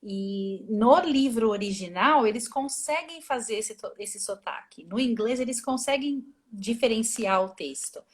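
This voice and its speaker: Chatty higher-pitched woman on a video call. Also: a little slow, hardly any pauses. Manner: chatty, thinks aloud with many restarts. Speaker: translator and reviser, guest. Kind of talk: casual interview over a live stream. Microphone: phone or laptop mic on a video call.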